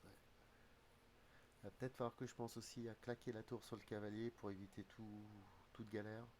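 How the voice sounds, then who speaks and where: A man's voice: on the low side, level tone, normal speed, a little mellow, a little hiss or hum. A man with a slight head cold who streams his chess games, muttering his calculations aloud in half-finished phrases. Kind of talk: thinking aloud while playing online chess. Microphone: streaming desk mic.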